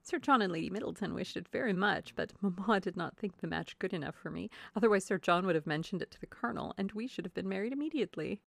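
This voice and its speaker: A woman playing a silly, chatty young married gentlewoman in a classic novel reading, effusive and exclamatory. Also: mid-range voice, lively intonation, quick pace, creaky, hardly any pauses, no room sound. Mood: chatty, complacent. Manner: effusive, exclamatory, prone to giggling. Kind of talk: classic novel audiobook dialogue.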